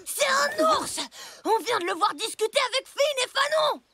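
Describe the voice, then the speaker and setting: A woman's voice: very high voice, expressive, moderate pace, crowd noise. A woman voicing a bossy, blustering little boy gang leader in a children's cartoon, indignant and full of himself. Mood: accusing, huffy. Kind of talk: animated children's cartoon dialogue. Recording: music behind the voice.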